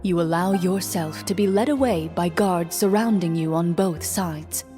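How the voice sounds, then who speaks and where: Actress as a formal, deadpan woman game master, reverberant. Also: lowish voice, unhurried, lightly acted. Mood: solemn narration. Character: composed, serious young woman game master narrating solemnly. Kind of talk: scripted game voice acting, narrating a tabletop game. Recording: echoey hall reverb, music behind.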